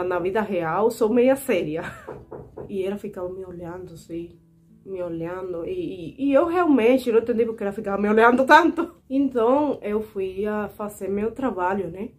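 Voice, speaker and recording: A woman's voice: mid-range voice, a little mellow. A woman in her twenties from Cuba, speaking with a Cuban Spanish accent, telling personal stories earnestly and indignantly to her viewers. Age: 20s. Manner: earnest, indignant, repeatedly addressing viewers as "gente". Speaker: vlogger. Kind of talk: personal storytelling to camera.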